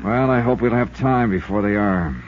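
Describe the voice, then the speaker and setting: An actor playing a tough, wry American insurance investigator in a 1950s radio drama, speaking and narrating in a clipped, dry manner. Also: male voice, on the low side, normal speed, lightly acted. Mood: brisk, firm. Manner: clipped, dry, hard-boiled. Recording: dull lo-fi 1950s radio recording.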